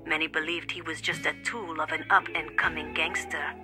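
A woman's voice: deep voice, little pitch movement, normal speed, over music, lightly acted. A woman voicing a cool, sly blonde handler of an assassin contest in a video game cutscene. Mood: hushed, secretive. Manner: coolly detached, sly.

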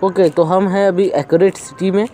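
Young guy chatting thru a game, kinda high voice but mellow, faint hum behind. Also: steady tone, normal speed. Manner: chatty, thinks aloud while playing. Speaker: gaming channel host. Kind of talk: casual let's-play commentary.

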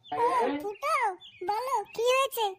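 A woman's voice: very high voice, a little slow, heavy background noise. A woman voicing a blunt, foul-mouthed married adult village woman in a comic cartoon. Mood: matter-of-fact.

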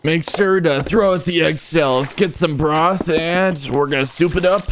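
A man's voice: on the high side, unhurried, background noise, lightly acted. A man voicing an eager, bossy young stick-figure chef in a cartoon fan dub, talking in short casual instructions. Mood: confident, instructive.

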